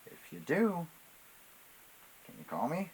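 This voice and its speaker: Casting: easygoing male cop for stage drama read by a man, gravelly edge, lively intonation. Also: fairly high pitch, slow, slight background noise, voice pushed up, exaggerated voice, rough recording. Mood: casual. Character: casual, unsuspecting male police officer.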